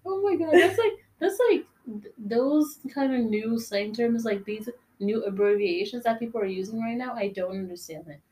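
A fairly high-voiced woman in her twenties chats casually as a podcast co-host. She giggles easily and packs her talk with slang and filler words. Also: lively intonation, normal speed, a little mellow, a little hiss or hum. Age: 20s. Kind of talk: casual chat.

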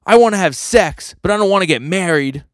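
Give a brash teenage manga boy, as acted out by a man. He is hot-headed and crude, speaking indignantly in a raised, pushed pitch. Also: animated, fairly quick, dry recording, studio quality. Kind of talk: manga dialogue read aloud.

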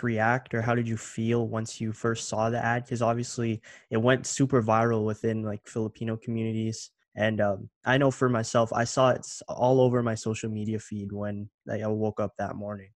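A young man from Canada hosts a casual interview, easygoing and a little halting, feeling his way through questions with "um"s and restarts. His voice sits at an ordinary middle pitch in a dry, close recording. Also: no echo. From Canada (Ontario). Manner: relaxed, hesitant, with many "um"s and restarts. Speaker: interview host.

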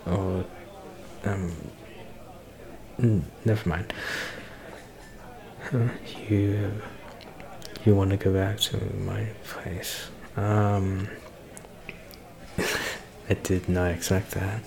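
A man playing a shy, flirtatious celebrity in an ASMR romance roleplay, speaking hesitantly with nervous soft laughs. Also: low voice, steady tone, drawn-out words, creaky, long pauses, full character voice. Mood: shy, flirty. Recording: bar crowd chatter behind voice. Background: crowd noise of a bar.

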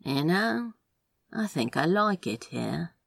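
A woman voicing a genteel, friendly man in a fan fiction audio story, speaking politely with a husky, creaky voice. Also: lowish voice, big pitch swings, slow pace, no echo, exaggerated voice. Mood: pleased, nostalgic. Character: Aziraphale, genteel, friendly man who smokes on occasion. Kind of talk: fan fiction podfic character acting.

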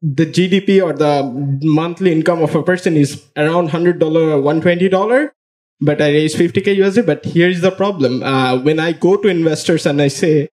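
Young Bangladeshi man, fairly high voice. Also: fairly quick, darkish tone. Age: early twenties. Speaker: young founder asking an audience question. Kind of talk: spoken question from the audience.

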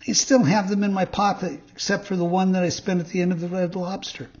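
A man voicing a naive, eager young wooden puppet boy in a storybook reading, earnest and excitable. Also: high-pitched, fairly quick, highs cut off, voice pushed up, lightly acted. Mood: trusting, naive.

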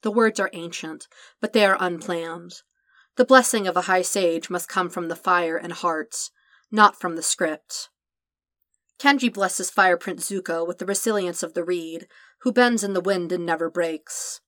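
Woman, lowish voice, calm measured story reader, dry close sound. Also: dry recording. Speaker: podfic reader. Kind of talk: scripted narration of fan fiction.

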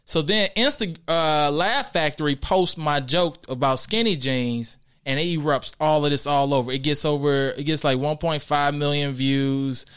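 An American man from the southern US telling stories casually as a guest comedian, in animated, slangy talk. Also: a little high, normal speed, few pauses, smooth. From Arkansas and St. Louis, southern US. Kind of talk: casual storytelling chat.

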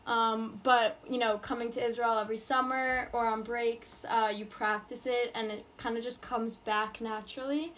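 A woman's voice: fairly high pitch, level tone, moderate pace, few pauses, a bit bright, slight background noise. A young woman in college from New York, answering interview questions casually with lots of fillers and restarts. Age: college student. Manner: hesitant, many fillers and restarts.

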